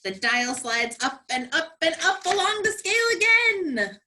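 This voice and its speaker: A woman's voice: extremely high pitch, sing-song, measured pace, pushed and bright. A woman comedian delivering sassy, emphatic and theatrical scripted narration.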